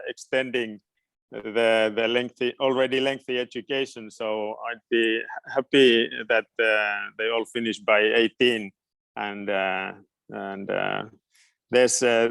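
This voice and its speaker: A man's voice: medium pitch, vocal fry, a bit bright, clean tone, no echo. A middle-aged man from Finland, a native Finnish speaker, answering questions informally and hesitantly as he searches for words. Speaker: guest education expert.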